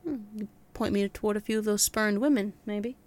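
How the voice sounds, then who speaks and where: A woman's voice: medium pitch, fairly quick, a little mellow, close to an everyday voice. A woman playing a wisecracking male detective's assistant in a noir audio drama, speaking wryly and teasingly. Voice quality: wry and teasing.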